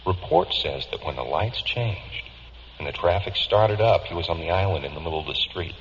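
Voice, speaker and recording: Sardonic morgue man, vintage radio drama. Deep gravel, blunt talk, reverberant dull recording. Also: animated, moderate pace, a bit bright. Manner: sardonic, matter-of-fact, colloquial. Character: blunt, hard-boiled morgue man recounting a case. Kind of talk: vintage radio drama acting, clipped report. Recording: dull, hissy recording in echoing hall.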